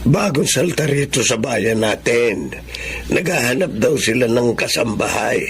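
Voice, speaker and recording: Gravelly Filipino father, animated. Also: medium pitch, measured pace, a little hiss or hum. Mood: coaxing. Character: poor, humble father of a grown daughter. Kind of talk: Filipino melodrama acting.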